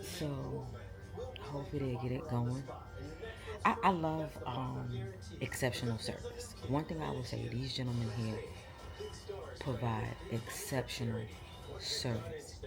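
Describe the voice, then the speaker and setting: A woman's voice: a bass voice, measured pace, gravelly, over loud music. A woman in her fifties with a New York accent, chatting casually and playfully to her vlog audience from her phone. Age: 50s. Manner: animated storytelling, playful. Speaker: vlogger. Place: in a car, then a waiting area at a tire shop. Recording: loud background noise.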